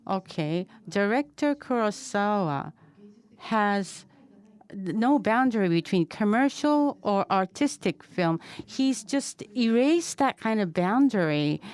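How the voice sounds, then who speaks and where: Slow, smooth-voiced woman interpreter. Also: medium pitch, a little mellow. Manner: measured, careful, with fillers. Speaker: interpreter and moderator. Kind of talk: consecutive interpreting at a press conference.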